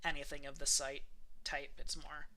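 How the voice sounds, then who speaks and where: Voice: medium pitch, little pitch movement, moderate pace, sharp tone. A game master casually narrating a tabletop role-playing game and explaining things informally.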